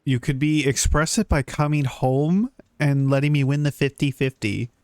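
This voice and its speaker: A man's voice: on the high side, fairly even intonation, normal speed, a little mellow, no echo. Casual, rambling male game streamer. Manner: informal, rambling. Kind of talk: casual livestream commentary. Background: game music.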